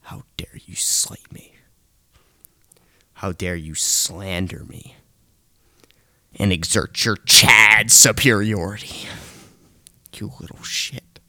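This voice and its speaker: Seething young man, slow gravelly whisper-rant. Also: sits low, pushed and bright, no room sound, studio quality. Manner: seething, hateful, often hissing in a whisper.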